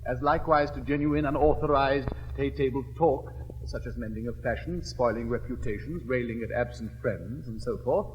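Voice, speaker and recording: An actor playing an elegant, witty gentleman suitor in a classic stage comedy, speaking in a crisp, measured, declamatory way. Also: mid-range voice, muffled recording, natural voice. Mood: wry. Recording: reverberant old recording, faint hiss.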